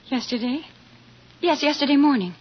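An actress playing a nervous, evasive wife with an anxious, hesitant, stammering manner in 1940s radio drama style. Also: female voice, sits high, expressive, moderate pace. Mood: defensive. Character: nervous, evasive wife hiding something from her husband. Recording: dull, faintly noisy vintage radio.

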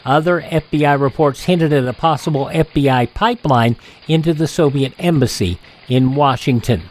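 A man with an American accent reading scripted news and programme announcements as a radio host, in measured phrases. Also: on the high side, poor audio.

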